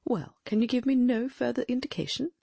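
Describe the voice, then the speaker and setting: A woman's voice: fairly high pitch, a little fast, creaky, warm tone, slightly rough, no room sound. A woman voicing a brilliant, confident Victorian detective in an audiobook, reasoning aloud with precise diction. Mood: questioning. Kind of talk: audiobook dramatic reading.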